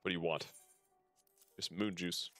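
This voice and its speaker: A man's voice: low voice, steady tone, measured pace, fairly bright tone. A man streaming a game, reading its dialogue aloud with casual asides and the odd stumble over the words. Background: game music.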